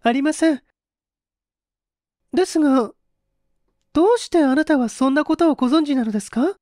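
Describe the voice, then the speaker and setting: A man's voice: extremely high pitch, normal speed, a little mellow, no echo, clean studio sound, pitched above the performer's own voice. A man voicing an earnest, anguished young woman who speaks politely and pleadingly in an audiobook drama. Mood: tense, questioning.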